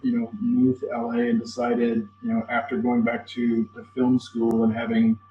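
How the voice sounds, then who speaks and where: A man's voice: mid-range voice, completely flat delivery, moderate pace. A man in his fifties interviewing conversationally, sharing his own experience as he leads into questions, on a muffled recording. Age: 50s. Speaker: interviewer, host. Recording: muffled, roomy sound with background noise.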